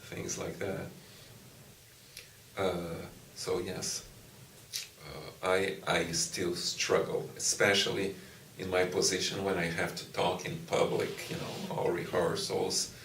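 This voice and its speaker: Slow, deep-voiced Brazilian man. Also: level tone, vocal fry, a bit bright, a little hiss or hum. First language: Portuguese. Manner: slow, thoughtful, with frequent "you know" and restarts. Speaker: university professor. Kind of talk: interview answers. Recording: echoey room, distant mic, faint ambience.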